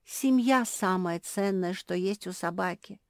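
A woman voicing a gentle, sorrowful young puppy turned dragon in a storybook, with heartfelt, drawn-out delivery. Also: lowish voice, no room sound, studio quality. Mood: earnest.

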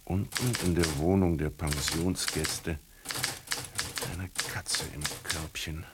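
Musing writer-narrator, extremely deep voice, slow pace, wry, measured, radio drama, typewriter clicks behind. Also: male voice, steady tone, very noisy. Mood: contented. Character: unhurried, contemplative male writer narrating his cat story.

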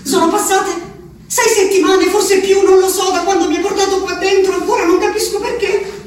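An actress playing a woman locked in an asylum, writing an angry, pleading letter to her husband, speaking quietly at times and shouting at others. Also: extremely high pitch, steady tone, normal speed, distant mic, slight background noise. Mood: bitter. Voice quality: shifts from quiet reading to shouting. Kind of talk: radio drama acting. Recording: roomy radio broadcast with music, voice a bit off mic.

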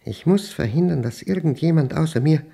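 An actor playing a paranoid, tormented man reading his obsessive diary in a German radio play, husky and intense, in clipped, brooding fragments. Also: fairly high pitch, brisk, warm tone. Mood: agitated.

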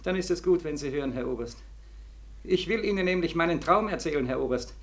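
An actor playing a bitter, sleepless young war veteran in 1940s German radio drama style, feverish and sardonic, repeating himself between weary murmurs and anguished cries. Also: male voice, on the high side, expressive, fairly quick. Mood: haunted, intense.

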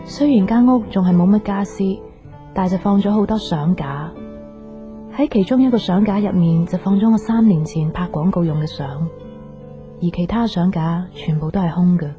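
A woman's voice: on the low side, moderate pace, close to an everyday voice. An actress with a Hong Kong Cantonese accent playing a young student girlfriend back from abroad, conversational, in a radio drama. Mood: reflective. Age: young adult. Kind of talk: naturalistic radio drama narration and dialogue. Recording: slightly dull radio recording, faint guitar music.

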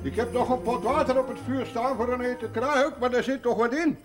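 An actor playing a fussy, jolly older man with a husky, weathered voice who cares for someone in a television drama. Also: extremely high pitch, steady tone, faint music behind. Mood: jovial, fussing. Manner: slow, theatrical, self-mocking, breaks into song. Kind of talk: television period drama acting.

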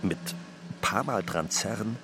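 A man narrating a literary radio drama in a deliberate, suspenseful manner. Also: lowish voice, animated, noisy background.

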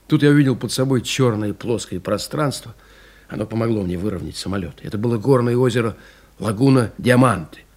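An actor playing a rugged, proud aviator telling of his ordeal in a restrained, intense dramatic monologue with a husky voice. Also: lowish voice, fairly quick, a little mellow. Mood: weary, matter-of-fact. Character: rugged surviving male aviator recounting his mountain ordeal, proud and plainspoken. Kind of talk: dramatic first-person monologue in an audio play.

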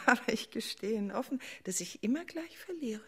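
A woman's voice: on the high side, expressive, fairly quick, fairly bright tone, slightly rough, exaggerated voice. Lilting, coquettish, chattering queen. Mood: coy, giddy. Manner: hesitant, flirtatious chatter. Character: coquettish, chattering, scatterbrained queen in her palace. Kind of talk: German radio play acting.

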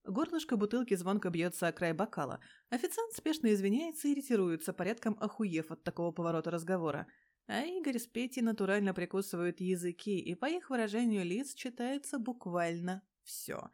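A woman reading fan fiction aloud as an expressive storyteller, with a colloquial, sardonic manner. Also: medium pitch, fairly quick. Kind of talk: expressive audiobook-style storytelling with character voices.

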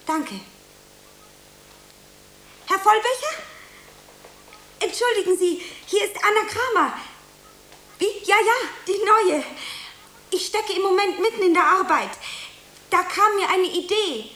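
A very high-pitched, eager young actress in a radio drama. She is theatrical and anxious, lingering slowly over her words. The room rings around her, with a faint hiss. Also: lively intonation, fairly bright tone, echoey room, full character voice. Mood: nervous, pleading. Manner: gushing, hesitant, dramatic pauses, earnest. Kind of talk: German radio play acting.

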